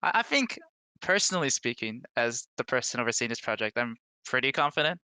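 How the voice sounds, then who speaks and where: A man's voice: mid-range voice, very animated, fairly quick, pushed and bright, smooth. A man speaking casually and confidently as a project lead in an interview. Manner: confident, insistent. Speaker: indie game project lead, podcast guest.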